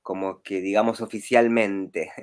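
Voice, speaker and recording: Relaxed Argentine man chatting on a call. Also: fairly low pitch, measured pace, clean tone. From Rosario, Argentina. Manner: relaxed, chuckles often, rambling. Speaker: guest, street clown. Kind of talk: casual video call interview. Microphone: video call.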